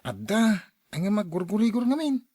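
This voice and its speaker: A worried younger neighbour in a radio drama. The delivery swings widely in pitch, in a dry recording. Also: female voice, very animated, moderate pace, warm tone, slightly rough, no echo. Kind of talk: radio drama acting in dialogue.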